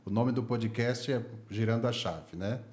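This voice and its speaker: A man's voice: medium pitch, moderate pace, darkish tone. A Brazilian man hosting a podcast interview, chatty and friendly, laughing easily with his guest. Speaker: podcast host. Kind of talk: casual interview chat. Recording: echoey room.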